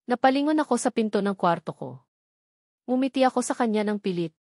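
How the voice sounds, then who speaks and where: A woman's voice: a little high, animated, brisk, a little mellow, no room sound, clean studio sound. A woman with a Filipino accent reading scripted narration from a romance novel. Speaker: audiobook narrator.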